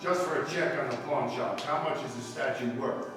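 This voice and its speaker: A man's voice: medium pitch, normal speed, close to an everyday voice. A man playing a polite, businesslike police detective partner in a 1950s radio drama, with plain questioning delivery. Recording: very echoey hall, distant mic, music.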